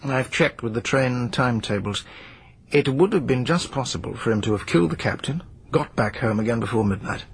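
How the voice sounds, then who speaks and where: A patient, persistent police inspector in a radio drama, measured and polite as he reports and questions. His voice is husky and rough-edged, pitched in an ordinary middle range. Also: lively intonation. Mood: earnest report. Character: dogged, skeptical police inspector Narracott.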